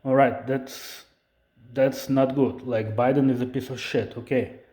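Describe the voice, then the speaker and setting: Indignant male vlog host, mellow voice, profane repetitive ranting, echoey room. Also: mid-range voice, steady tone, measured pace. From Ukraine. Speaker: tech channel host. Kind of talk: ranting video commentary.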